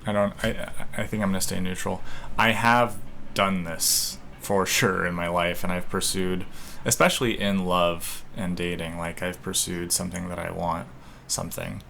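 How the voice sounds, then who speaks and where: A man's voice: low voice, level tone, moderate pace, vocal fry, bright, forceful tone, slight background noise. A young man in casual solo talk, reflecting aloud in a hesitant, rambling way with many restarts. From United States. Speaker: podcaster. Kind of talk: casual solo talk while taking an online quiz.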